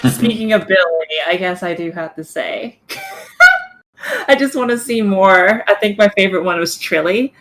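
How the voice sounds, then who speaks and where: A woman's voice: mid-range voice, expressive, moderate pace, background noise. A woman chatting casually and a bit hesitantly as a voice actor on a group panel over an online call.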